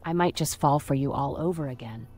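Woman voicing a flirty low-voiced adventurer companion. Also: fairly quick, darkish tone, natural voice. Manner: flirty, teasing, pet names. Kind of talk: game character dialogue. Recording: game music underneath. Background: game music.

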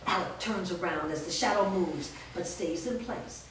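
A woman's voice: lowish voice, normal speed, noisy background. A woman narrating stage directions steadily at a staged script reading. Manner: steady, descriptive. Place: large studio room. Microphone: far camera mic. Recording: echoey room from far off.

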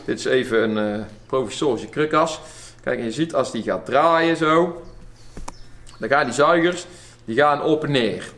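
A young man, a car mechanic hosting a workshop video, explaining engine damage in a chatty, lively way. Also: medium pitch, measured pace. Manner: lively, chatty, laughs often.

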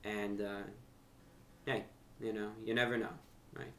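A man's voice: on the low side, flat delivery, unhurried, fairly bright tone, slight background noise. A young American man giving a casual, conversational monologue to camera, earnest and repeating phrases for emphasis. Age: young adult. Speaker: host of a video channel and radio show. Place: furnished room at home.

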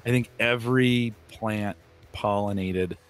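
A middle-aged man hosting a casual tech podcast, hoarse and raspy from allergies, rambling with fillers and restarts, on a boom-arm studio mic in a home studio. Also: fairly even intonation, slow, soft background music. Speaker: podcast host. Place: home studio room with shelves.

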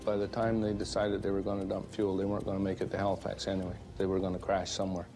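A man's voice: deep voice, monotone, fast, mellow. An older man giving an interview as an accident investigator, plain-spoken and folksy. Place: dark studio interview set. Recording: music laid under the interview.

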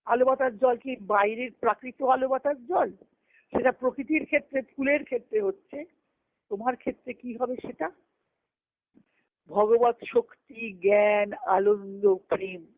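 A woman's voice: a little high, a little slow, no echo. A woman giving a devotional philosophical discourse as a spiritual teacher, posing questions and answering them, over a telephone conference call. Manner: didactic, poses questions and answers them, repeats phrases. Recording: thin phone-line conference call audio.

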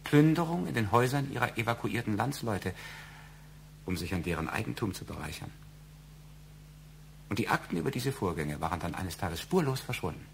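Radio drama husband: middle-aged, gravelly, deliberate, formal, slyly needling and evasive. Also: male voice, fairly low pitch, sing-song, slight background noise. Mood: insinuating. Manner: deliberate, insinuating, formal wording. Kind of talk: German radio crime drama acting.

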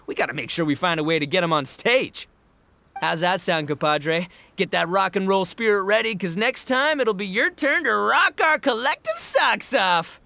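A man's voice: high-pitched, gravelly, bright, forceful tone, full character voice. A man voicing a brash, swaggering young oni gang boss in a video game. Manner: boisterous, swaggering. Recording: music behind.

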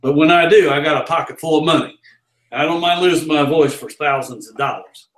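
A man's voice: fairly high pitch, a little fast. Folksy Southern older man, roomy sound. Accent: Southern American. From American South. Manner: folksy, loud carnival-barker storyteller. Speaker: interview guest. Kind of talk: storytelling in a casual interview. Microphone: far camera. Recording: roomy office echo.

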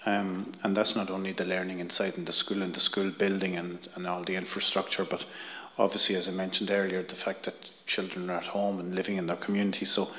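A middle-aged Irish man on a conference panel, speaking in a measured, explanatory way into a handheld mic. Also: low-pitched, level tone, sharp tone. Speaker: panelist, union general secretary. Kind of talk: panel discussion.

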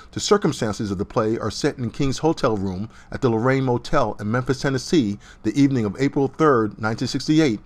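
Man reading a formal review voice-over. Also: medium pitch. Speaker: host, reviewer. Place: voice-over over still images.

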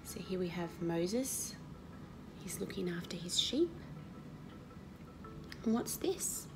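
Woman reading a children's story, creaky edges. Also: lowish voice, slow pace, noisy background. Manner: expressive, teacherly, asks the children questions. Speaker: Sunday school story reader. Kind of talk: children's storytelling read aloud with explanations. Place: indoor living room at home.

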